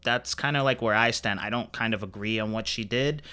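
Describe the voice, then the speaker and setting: A man's voice: fairly low pitch, brisk, pushed and bright, smooth. A man co-hosting a podcast, chatting casually about pop culture in an opinionated, emphatic, slangy way. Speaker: podcast co-host.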